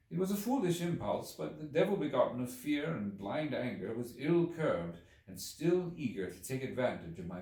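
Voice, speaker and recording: Echoey distant recording, male audiobook narrator, very animated intonation, expressive theatrical storytelling. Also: medium pitch. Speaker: audiobook reader. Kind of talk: dramatic literary audiobook narration. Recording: roomy echo, distant microphone.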